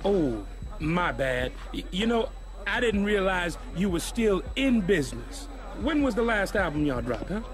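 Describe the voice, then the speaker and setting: High-pitched, swaggering rap rival. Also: male voice, big pitch swings, a little slow. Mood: mocking. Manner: mocking, swaggering. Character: arrogant, taunting rival rap label star. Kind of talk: video game cutscene acting.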